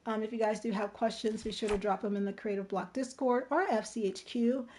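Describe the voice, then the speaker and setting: A woman hosting a casual livestream tutorial with a slightly hoarse, strained voice, explaining step by step and checking in as she goes. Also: on the high side, fairly even intonation, a little fast, warm tone, a little hiss or hum. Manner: informal, step-by-step explaining, checking in with "right?" and "okay?". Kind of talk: casual game-design tutorial.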